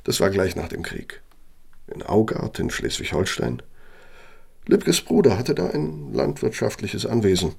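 Gravelly, deep-voiced aging self-righteous architect. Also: male voice, sing-song, a little mellow, heavily acted. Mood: genial, boastful. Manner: deliberate, emphatic, self-righteous. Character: aging male architect, self-justifying, bitter former Nazi planner. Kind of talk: German radio play monologue and dialogue.

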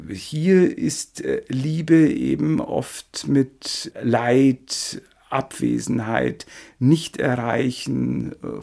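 A man's voice: medium pitch, vocal fry, dark tone. A man, a researcher, explaining off the cuff in an interview and searching for his words. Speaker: literature researcher and translator, interviewee.

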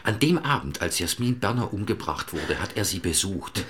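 An actor playing a dogged but polite private investigator in crime radio drama dialogue. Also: male voice, low voice, big pitch swings, moderate pace, a bit bright. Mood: insistent.

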